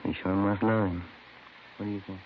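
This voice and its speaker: An American actor playing a weary, matter-of-fact police detective in a 1950s radio drama. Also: male voice, lowish voice, flat delivery, a little fast, lightly acted. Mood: wry, musing. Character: weary, flat-voiced male police detective partner. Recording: muffled, hissy 1950s radio recording.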